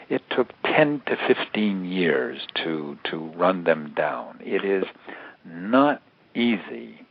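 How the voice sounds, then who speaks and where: An American man giving analytical answers as an expert interview guest, repeating words haltingly as he builds his points. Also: low voice, breathy edge. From United States. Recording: narrowband phone-like radio interview line.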